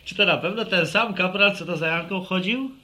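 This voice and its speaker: A man's voice: sits high, brisk, bright, forceful tone, exaggerated voice. An actor playing a scheming, bossy young village man who cajoles and teases in colloquial rural speech in a radio drama. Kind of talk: Polish radio drama acting.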